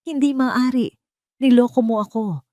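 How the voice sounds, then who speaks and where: A man's voice: extremely high pitch, moderate pace, mellow, no room sound, studio quality, voice pushed up. A woman voicing an arrogant rich husband, a cheat, in a Tagalog audio story. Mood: furious threatening. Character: boastful cheating rich husband, angry then desperately begging.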